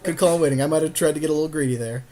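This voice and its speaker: A man's voice: on the high side, racing, mellow. A man co-hosting casual card game commentary, agreeable and slangy with a jokey streak.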